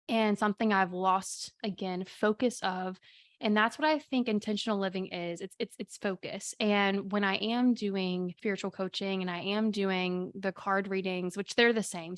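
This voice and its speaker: A woman with a slightly raspy voice hosting a casual solo podcast from her home office, telling chatty stories with quick asides. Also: medium pitch, no echo, studio quality. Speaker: podcast host and spiritual coach.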